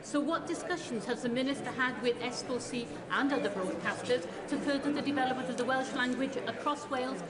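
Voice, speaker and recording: A woman chairing a parliamentary committee puts a formal question. Her voice is high. The room echoes and a crowd murmurs underneath. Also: a little fast, few pauses.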